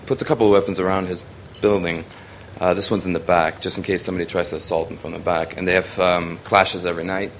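A man's voice: sits low, a bit bright, slight background noise. A young man from Hong Kong giving a rambling, casual presentation of his photography, with many ums and restarts.

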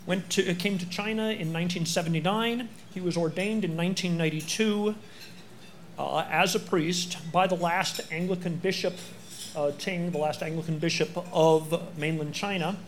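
A man hosting an event, introducing the guest speaker in a chatty, halting way with many fillers. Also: high-pitched, fairly even intonation, slight background noise. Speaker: event host.

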